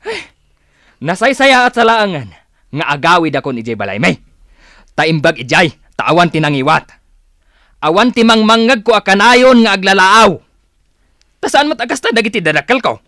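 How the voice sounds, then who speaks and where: A man's voice: sits high, sing-song, moderate pace, pauses often, bright, forceful tone, dry recording, studio quality, full character voice. A man playing a fed-up, embarrassed husband, plaintive and exasperated, in a Philippine radio drama. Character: fed-up, embarrassed husband complaining about his quarrelsome wife.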